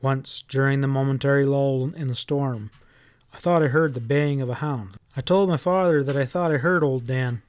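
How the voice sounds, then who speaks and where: A man's voice: mid-range voice, normal speed, clear, resonant tone, no room sound. A man reading a scripted story as an audiobook narrator.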